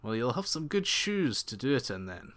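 A man voicing a stern but reasonable, wry minister in a told folk tale, speaking in firm, measured declarations. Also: mid-range voice, sing-song, fairly bright tone.

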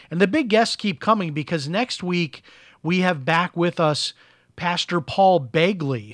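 A man with a fairly high speaking voice hosts a live talk broadcast, his voice a touch raspy from being sick. He talks in a loose, chatty way with plenty of "uh"s. The sound is dry and close, with no room around him. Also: fairly bright tone, no room sound. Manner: chatty, conversational, with fillers. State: slightly raspy from illness. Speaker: host. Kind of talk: live talk radio monologue.

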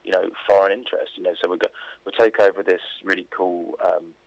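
A man with a very low, husky voice gives his views in a casual radio interview. He rambles informally and is opinionated. The sound is dull and lo-fi, like a phone link. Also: level tone, a little fast, no echo. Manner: informal, opinionated, rambling with frequent "you know" and "like". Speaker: interview guest.

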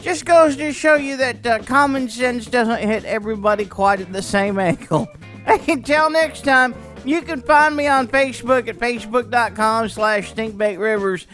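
A man putting on a folksy old fisherman who chuckles his way through a tall tale. His is broad and homey, and his speech wanders and trips over itself. A little music plays softly behind. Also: very high-pitched, normal speed. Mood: jokey. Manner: chuckling, rambling storyteller. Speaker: comic segment host.

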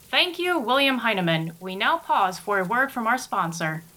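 Thirties radio newswoman, played by an actress: brisk, formal, high and forceful bulletin delivery. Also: sing-song. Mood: urgent, formal. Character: brisk female 1930s radio news anchor. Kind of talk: formal news bulletin, radio drama spoof.

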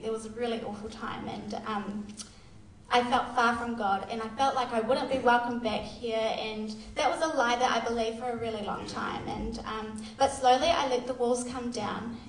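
A woman's voice: a little high, steady tone, normal speed, a little hiss or hum. A woman, giving a candid, self-deprecating church testimony full of fillers. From Australia. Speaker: youth worker sharing testimony. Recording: echoey hall, voice far from mic.

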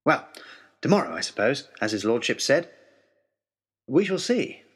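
A man's voice: medium pitch, big pitch swings, a little slow, husky. A man with an English accent narrating a period adventure story in the first person, in a dramatic style.

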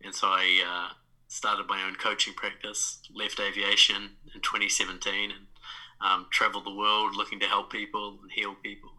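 A man in his thirties with a New Zealand accent speaks as a podcast guest, his voice sitting rather low yet sounding very bright and crisp. He talks reflectively, full of small fillers and restarts. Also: completely flat delivery, normal speed, low-quality recording. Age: 30s. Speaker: guest, life coach. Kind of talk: casual podcast interview.

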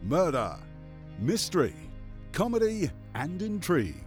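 A man's voice: fairly high pitch, huge pitch swings, a little slow. A man narrating a scripted promo as a dramatic announcer, with music underneath.

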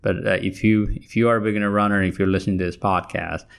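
A man in his fifties from Nepal with a Nepali accent touched by a Southern US drawl, hosting a solo podcast and talking in a halting way with many restarts. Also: lowish voice, little pitch movement, gravelly, clean tone. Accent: Nepali with a Southern US tinge. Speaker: podcast host.

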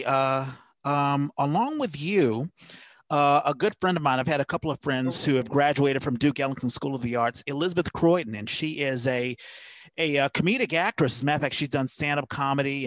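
A man's voice: mid-range voice, fairly bright tone, no echo. A man co-hosting a theater podcast, talking casually and hesitantly, full of fillers as he searches for words. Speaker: podcast co-host. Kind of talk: casual chat.

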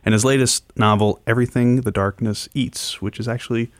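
A man's voice: medium pitch, steady tone, a little fast, no echo, clean studio sound. A man co-hosting a radio show, conversationally introducing and interviewing a guest.

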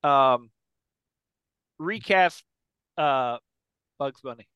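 A man's voice: medium pitch, drawn-out words, clean tone, no room sound, dull audio. A man hosting a comedy podcast segment in casual banter, emphatic and often repeating phrases to drive a point. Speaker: podcast host leading the segment.

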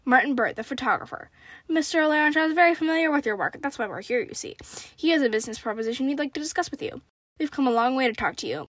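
A woman's voice: high voice, lively intonation, quick pace, a bit bright, dry recording. A woman reading a younger male ruffian in a storybook, light and falsely courteous. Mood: smooth, insinuating. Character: smooth-talking younger male ruffian, mock-polite gang leader.

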